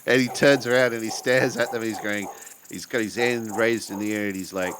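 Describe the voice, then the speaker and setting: Low-voiced man playing a tabletop game. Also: steady tone, fairly quick, noisy background. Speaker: player in a tabletop roleplaying game. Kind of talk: casual improvised narration of own character.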